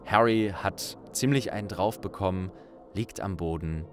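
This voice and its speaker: Low-pitched man chatting casually to listeners. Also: measured pace. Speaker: audiobook narrator and host. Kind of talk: casual thank-you address to listeners.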